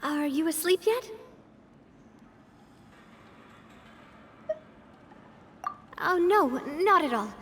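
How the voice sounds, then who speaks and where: An actress playing a warm, earnest young woman in a video game, speaking conversationally. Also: very high voice, lively intonation, moderate pace, background noise. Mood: cheerful. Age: young adult. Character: warm, reflective young woman, loyal leader, gentle and playful.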